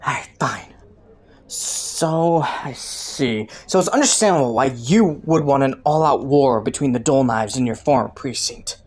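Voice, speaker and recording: A woman's voice: a bass voice, lively intonation, unhurried, darkish tone, exaggerated voice. A woman voicing a clever, flirty underworld rogue in an audio roleplay, teasing and taunting a detective with sardonic, sultry playfulness. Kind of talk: roleplay audio drama, intimate monologue to the listener.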